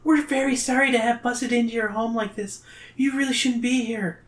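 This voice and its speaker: A man's voice: very high-pitched, fairly even intonation, moderate pace, a bit bright, pitched above the performer's own voice. A man voicing a nervous, polite young female companion in a storybook adventure. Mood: nervous pleading. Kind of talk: gamebook read aloud.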